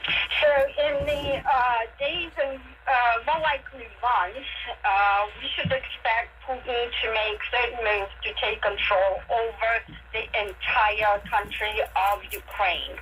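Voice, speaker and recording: A woman giving deliberate, explanatory commentary as a guest analyst in a radio interview, heard over AM radio. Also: fairly high pitch, steady tone, fairly bright tone. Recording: dull, noisy AM radio reception.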